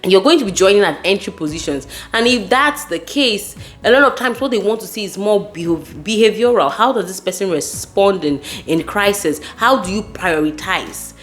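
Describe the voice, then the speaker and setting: A woman's voice: mid-range voice, expressive, normal speed, hardly any pauses, soft background music. A woman from Nigeria with a Nigerian accent gives casual advice to viewers as a host, speaking emphatically and repeating key words. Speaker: host giving career advice.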